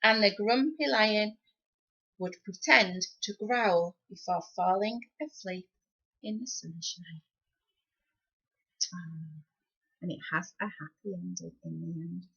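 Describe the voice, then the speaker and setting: A woman reading a children's story expressively, with deliberate, dramatic phrasing. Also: on the low side, vocal fry, pushed and bright, husky. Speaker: storybook reader. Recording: slightly dull, lo-fi sound.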